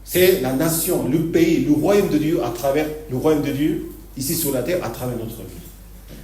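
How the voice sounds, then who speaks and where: Male pastor, stop-start delivery with frequent laughs, mellow voice; distant mic in an echoey church hall. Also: medium pitch, lively intonation, a little hiss or hum. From Argentina. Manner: halting, repeats words, laughs often. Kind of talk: sermon.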